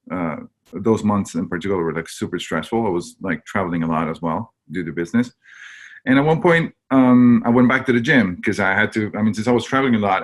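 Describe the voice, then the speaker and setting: A man from Argentina, a webinar guest telling his own story in a candid, rambling way with many fillers. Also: fairly low pitch.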